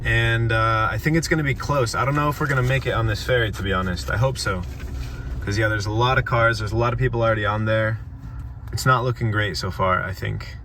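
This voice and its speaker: A man's voice: on the low side, steady tone, a little fast, bright, forceful tone, a little hiss or hum. Easygoing male travel vlogger outdoors. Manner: chatty, easygoing, many fillers. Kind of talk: casual talk to camera while walking. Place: outdoors in old town streets.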